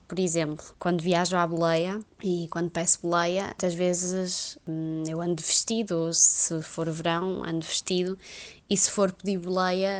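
A woman with a European Portuguese accent reflecting on her travel experiences in a measured way. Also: on the low side. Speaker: guest sharing travel experience. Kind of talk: recorded personal testimony.

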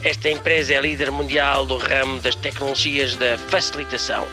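An actor playing the pompous, bossy owner and presenter of a family radio station, hosting a satirical show. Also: male voice, mid-range voice, level tone, moderate pace, music in the background, lightly acted. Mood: solemn, gushing. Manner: pompous, exhorting.

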